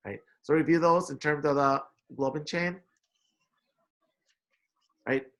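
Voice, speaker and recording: A man. His voice sits a little high for a man. He explains step by step, repeating key phrases and often tagging points with a quick check-in. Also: fairly even intonation, a bit bright. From Indonesia, moved to America at eight. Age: 40s. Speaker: lecturer, science instructor. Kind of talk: informal explanatory lecture.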